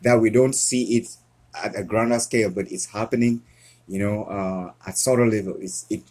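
Earnest Cameroon-born male guest, husky, halting delivery. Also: lowish voice, steady tone, a little mellow. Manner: earnest, halting with frequent restarts. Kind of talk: casual interview conversation.

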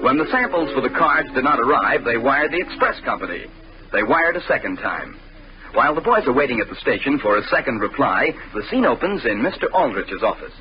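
A man's voice: medium pitch, sing-song, fairly quick. A man delivering scripted narration as an announcer in 1940s radio style. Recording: muffled old radio recording. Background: music.

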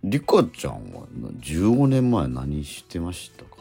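A middle-aged man hosting a radio show in casual, animated banter. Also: deep voice, unhurried, vocal fry, slightly rough, soft background music. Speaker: radio show host and stage actor.

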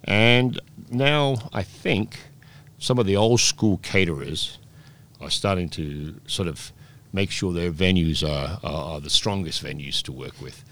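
Deep voiced guy in his sixties, chatty, keeps restarting his sentences. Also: animated, unhurried, fairly bright tone. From Scotland, raised in Peru. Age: 60s. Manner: rambling storyteller with many restarts. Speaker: guest, oyster bar operator. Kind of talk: relaxed interview chat.

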